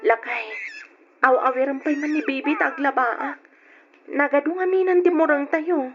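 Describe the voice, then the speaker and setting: An actress playing a young, long-suffering but outspoken wife in an old radio drama, plaintive and reproachful, on a lo-fi recording. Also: female voice, sits high, steady tone, normal speed, music in the background, close to an everyday voice. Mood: exasperated.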